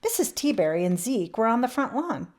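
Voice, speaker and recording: A woman reading a children's picture book aloud expressively, with emphatic swells and hushed drops. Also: on the high side, sing-song, fairly quick. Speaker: children's read-aloud channel host.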